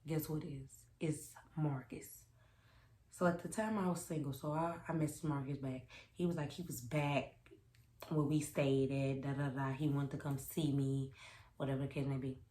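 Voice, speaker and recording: Young woman doing storytime vlog, deep-ish voice, sassy n emphatic, echoey room. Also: moderate pace. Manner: animated, emphatic, sassy, repeats phrases for emphasis. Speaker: vlogger telling a personal story. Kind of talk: casual storytime chat to camera. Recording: roomy echo.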